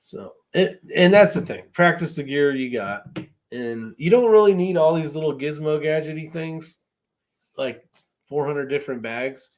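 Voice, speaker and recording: Casual male host, slightly higher-pitched voice. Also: animated, normal speed. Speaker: host of a shooting live chat show. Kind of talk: casual live-stream chat, greeting viewers.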